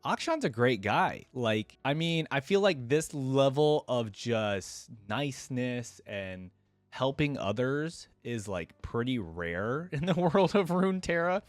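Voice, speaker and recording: A man's voice: medium pitch, big pitch swings, slow, dry recording. A man giving casual, enthusiastic, slangy reaction commentary and reading a story aloud as host.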